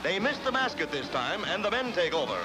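A man's voice: high-pitched, very animated, a little fast, poor audio. An American man narrating a scripted 1940s newsreel in a jaunty, theatrical announcer style, on a vintage film soundtrack. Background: loud orchestral newsreel music.

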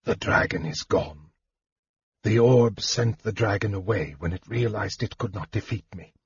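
A man voicing a frail young mage in a fantasy audiobook, bitter, weary and cynical, speaking in a hushed way. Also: on the low side, a little slow, husky, no room sound. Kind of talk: audiobook fantasy character dialogue. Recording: slightly muffled, dull sound.

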